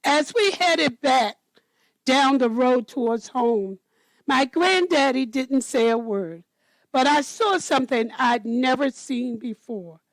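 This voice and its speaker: A woman storyteller reading a children's picture book aloud in a deliberate manner. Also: sits high, animated, no room sound, low-quality recording.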